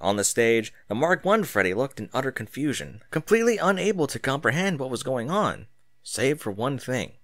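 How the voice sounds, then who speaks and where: A man narrating a scripted dramatic story as its narrator, with theatrical, deliberate emphasis. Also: medium pitch, very animated, fairly bright tone, dry recording, clean studio sound.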